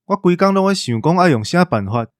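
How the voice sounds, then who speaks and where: Male co-host, Taiwanese accent, high voice, dry close sound, whiny comic banter. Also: lively intonation, a little fast, no room sound.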